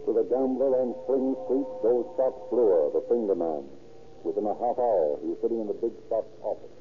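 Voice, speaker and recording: A man's voice: on the low side, expressive, moderate pace, lightly acted. Man as a solemn old radio crime narrator. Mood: dramatic. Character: dramatic crime-story narrator, solemn and deliberate. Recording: muffled old radio recording. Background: music.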